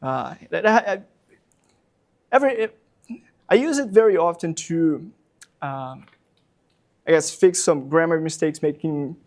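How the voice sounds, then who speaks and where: A man's voice: a little high, expressive, measured pace, pauses often. A man in his thirties from Brazil, a non-native speaker with a Brazilian Portuguese accent, giving a public lecture as a university professor in a library talk room, hesitant, with many fillers and repeated words.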